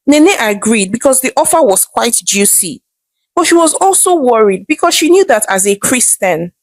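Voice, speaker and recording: A woman's voice: fairly high pitch, no room sound. A woman with a Nigerian accent telling a story in a dramatic oral style as host.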